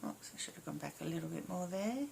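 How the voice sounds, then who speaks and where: A woman with a slightly hoarse, croaky voice giving a casual step-by-step craft tutorial in short, informal phrases as an instructor. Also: on the low side, animated, quick pace, darkish tone, a little hiss or hum. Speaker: embroidery instructor.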